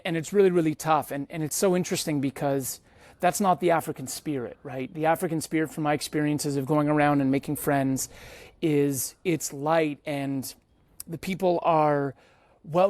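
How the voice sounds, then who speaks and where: A man's voice: fairly high pitch, steady tone, moderate pace, a little mellow, no room sound. A man hosting a podcast, telling personal travel stories in a casual, slangy way and acting out bits of dialogue. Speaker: podcast host.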